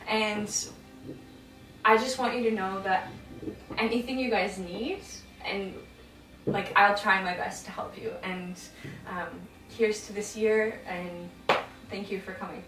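A woman's voice: medium pitch, fairly even intonation, a little slow, roomy sound, recorded from a distance, over music, low-quality recording. A young woman vlogger hosting a housewarming gathering of friends, chatting casually, giggly and heartfelt. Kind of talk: casual chat. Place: small apartment room with friends.

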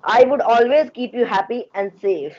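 A young amateur performer reading gentle, courteous roles in a radio skit, deliberately and from a script. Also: medium pitch, lively intonation, natural voice. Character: sad caged bird, and a courteous young prince. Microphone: video call. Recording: dull lo-fi video-call audio.

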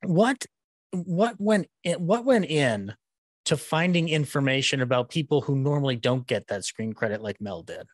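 A man's voice: fairly high pitch, expressive, moderate pace, dry recording. A man in his thirties hosting an online event, talking in an effusive, rambling way into a close desk microphone. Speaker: podcast host introducing and interviewing a guest. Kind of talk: enthusiastic live event introduction and chat. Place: indoor room at home on a video call. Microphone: close desk microphone with headset.

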